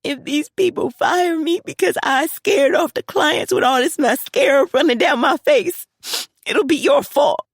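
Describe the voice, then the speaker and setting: A woman's voice: high voice, measured pace, gravelly, no room sound, pitched above the performer's own voice. Woman doing a gushing, squealing grown daughter. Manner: squealing, teasing, emotional.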